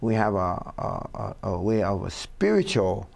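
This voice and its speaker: A man with a low, gravelly voice talks in an easy studio chat. His phrasing is plain and pidgin-tinged, with many pauses on "uh" as he gathers his thoughts. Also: sing-song, a little mellow. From Hawaii. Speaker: foundation founder, co-host.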